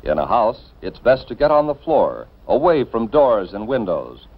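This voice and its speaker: An American man reading scripted 1950s instructional film narration in a measured, formal, instructive manner. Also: fairly low pitch, very animated, breathy edge. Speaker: narrator of a civil defense educational film. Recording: dull, band-limited 1950s film soundtrack.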